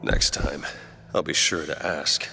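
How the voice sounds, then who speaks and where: An actor voicing a gruff, world-weary, sardonic man in a video game, dry and laconic. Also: very deep voice, fairly even intonation, slow pace, music in the background, heavily acted. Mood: dry, wary. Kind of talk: video game drama acting.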